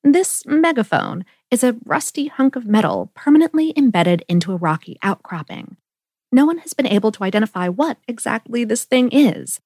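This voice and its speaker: Higher-pitched woman narrating, dry close sound. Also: a little mellow, no room sound. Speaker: podcast host. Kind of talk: scripted narration.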